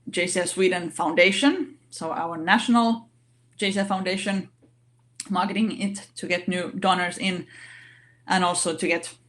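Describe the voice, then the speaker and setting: Soft-pitched woman, halting non-native English. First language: not English. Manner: halting, many fillers and restarts. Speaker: organization vice president giving a talk. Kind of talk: online session talk.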